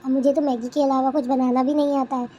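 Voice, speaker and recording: A woman's voice: sits high, level tone, fast, warm tone. A woman voicing a defiant, complaining young daughter-in-law in a comedy cartoon. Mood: exasperated. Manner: indignant, complaining, talks back. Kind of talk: Hindi cartoon family comedy dubbing.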